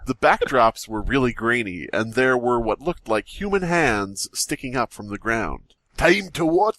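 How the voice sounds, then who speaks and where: A man's voice: mid-range voice, expressive, a little slow, a bit bright, no room sound. A man co-hosting a comedy podcast, reading a crude parody story aloud with laughs and swearing. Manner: crude, laughing, breaks into mock song. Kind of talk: casual comedic reading and banter.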